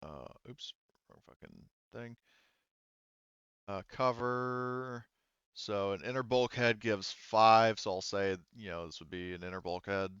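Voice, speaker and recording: Smooth low-voiced guy game mastering, thinks out loud, dry slightly dull lo-fi sound. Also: a little slow, no room sound. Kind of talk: casual tabletop game narration and rules talk.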